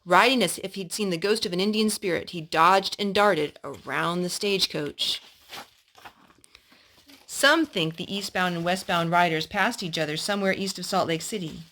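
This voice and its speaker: A woman's voice: lowish voice, normal speed, fairly bright tone. A woman storyteller reading a historical children's story aloud to a young listener.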